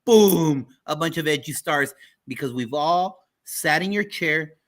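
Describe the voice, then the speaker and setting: A man co-hosting a talk show in an upbeat, energetic, punchy and exclamatory way. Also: fairly high pitch, unhurried.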